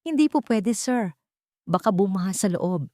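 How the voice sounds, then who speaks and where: A woman's voice: mid-range voice, lively intonation, normal speed, warm tone, no room sound, studio quality, natural voice. A woman voicing a shy, humble young housemaid who speaks politely and bashfully to her employer. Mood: anxious.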